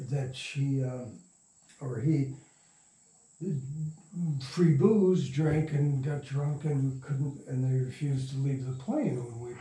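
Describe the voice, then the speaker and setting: An older man with a mellow voice tells stories casually as an interview guest. He rambles and halts, picking his way through each anecdote. The sound is roomy and echoey, with him some distance from the microphone. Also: medium pitch. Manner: rambling, halting, chuckling raconteur. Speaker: interview guest, radio producer and sound recordist. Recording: roomy echo, distant from mic.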